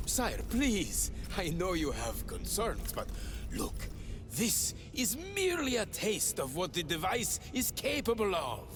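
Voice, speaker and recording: Obsessed devout scientist-priest, game cutscene. Formal pleading, lively high pitch, score underneath. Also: male voice, a little slow, music in the background, full character voice. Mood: reverent, pleading. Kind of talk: video game cutscene acting.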